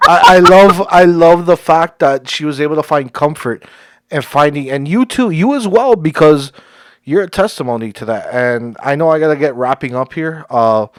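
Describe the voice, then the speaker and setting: A man's voice: on the high side, moderate pace, dry recording, studio quality. A man hosting a podcast, talking casually and thanking his guest effusively, laughing often. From Cuban heritage. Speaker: podcast host.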